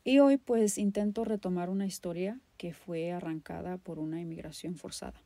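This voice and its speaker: A woman's voice: on the low side, steady tone, normal speed, warm tone, dry recording. A woman with a Mexican accent hosting a podcast, delivering a personal, poetic monologue in an impassioned, emphatic way with dramatic repetition. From Guadalajara, Mexico. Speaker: podcast host.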